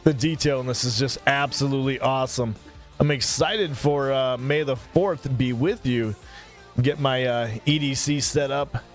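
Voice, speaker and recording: A man's voice: medium pitch, normal speed, faint music behind. A man in his mid-thirties chatting casually on a live stream, rambling between topics and thanking viewers by name. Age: 30s.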